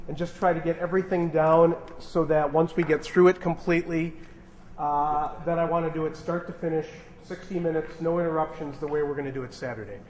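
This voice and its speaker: Informal man addressing a group, echoey hall. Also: a little high. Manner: informal, joking. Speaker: director leading a radio play rehearsal. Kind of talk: casual announcements to a group. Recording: noisy, echoey hall room recording.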